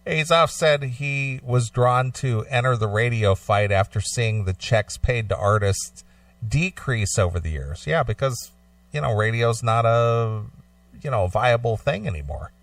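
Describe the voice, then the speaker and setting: A man co-hosting a talk show, alternating between read-out news and casual discussion in a measured, agreeable, matter-of-fact way. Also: mid-range voice, expressive, dry recording, distant mic.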